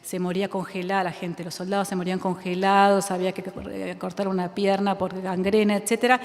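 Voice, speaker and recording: A woman's voice: medium pitch, little pitch movement, fairly quick. A woman writer from Argentina with an Argentine accent, speaking reflectively in a public conversation, thinking aloud with many fillers and restarts and frequent laughter. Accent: Argentine Spanish. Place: school auditorium with audience. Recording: reverberant hall.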